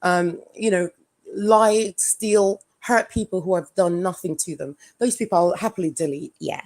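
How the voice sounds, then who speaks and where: A woman from Jamaica with an English accent, chatting bluntly as a guest on a casual live stream, with dark humor. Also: fairly low pitch, moderate pace.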